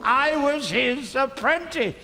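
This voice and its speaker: An actor playing a wistful old miser looking back on his past in a musical radio drama, halting and subdued. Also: extremely high pitch, expressive, full character voice. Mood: fond nostalgia.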